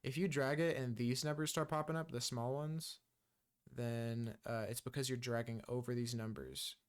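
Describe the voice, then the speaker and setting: Smooth-voiced man, casual tutorial talk. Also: mid-range voice, steady tone, moderate pace. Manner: loose and chatty, many ums and uhs. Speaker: music production tutor. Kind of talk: casual screen-recorded software tutorial.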